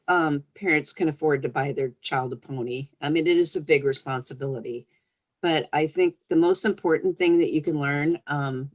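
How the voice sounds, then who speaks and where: A woman who is a children's book author, speaking as a guest on a storytime show, reading aloud or chatting casually. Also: low-pitched. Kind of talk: storybook read-aloud, then casual interview answers.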